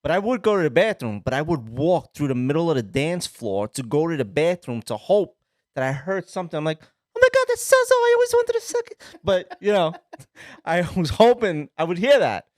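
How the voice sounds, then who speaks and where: A man, co-hosting a casual podcast and telling rambling, animated stories with a lot of swearing. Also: fairly high pitch, brisk, no echo, studio quality. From Sicily. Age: 30s. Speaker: podcast co-host. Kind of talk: casual chat.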